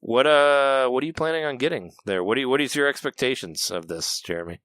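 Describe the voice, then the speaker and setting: A man's voice: mid-range voice, fairly quick, fairly bright tone, clear, resonant tone, no room sound, clean studio sound. A man hosting a casual gaming podcast, chatty and prone to laughing and restarting his sentences. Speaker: podcast host.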